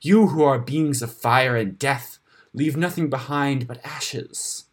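A solemn bear who leads a clan in a fantasy storybook , voiced by a man. The speech is formal and declamatory, husky and measured, weighted with grief. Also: mid-range voice. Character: solemn, grieving bear clan leader seeking justice.

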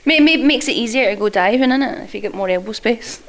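A woman's voice: on the high side, lively intonation, fast. A woman joking and laughing through a casual interview as a guest. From Scotland. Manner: jokey, laughs often. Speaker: guest wrestler.

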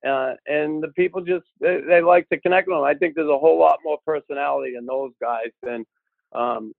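Gravelly Boston man on a tinny line. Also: fairly high pitch, steady tone, brisk, no room sound. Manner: gruff storyteller, frequent "uh" and restarts. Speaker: podcast guest, former hockey enforcer. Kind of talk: casual podcast conversation. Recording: tinny phone-like line, dry.

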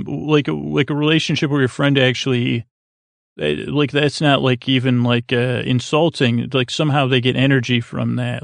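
A man with a creaky voice hosting a bedtime podcast, talking in a calm, gentle, meandering way with halting self-interruptions. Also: mid-range voice, dry recording. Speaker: podcast host. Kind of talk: rambling, soothing bedtime podcast monologue.

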